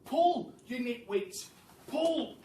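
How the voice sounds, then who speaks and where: A man voicing an excitable monkey father who barks impatient orders in a children's storybook reading. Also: very high-pitched, extremely slow, voice pushed up, heavily acted. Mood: snappy, commanding. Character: bossy, frantic monkey father leading a scheme. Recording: roomy, distant mic with faint noise.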